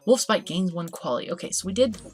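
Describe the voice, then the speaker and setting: A woman's voice: lowish voice, animated, fast. A woman gives casual let's play commentary, playful and giggly, reading game text aloud with expression. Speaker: host of a gaming channel. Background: background game music.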